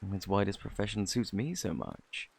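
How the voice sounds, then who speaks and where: A man with a British accent playing a flirty, eccentric fortune teller in a fantasy ASMR roleplay, with a theatrical, boastful, easily flustered manner and a husky, gravelly voice. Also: on the low side, sing-song, moderate pace, exaggerated voice. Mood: mystical, assured. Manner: theatrical, flustered, coaxing, old-fashioned phrasing. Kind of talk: ASMR roleplay, intimate scripted acting.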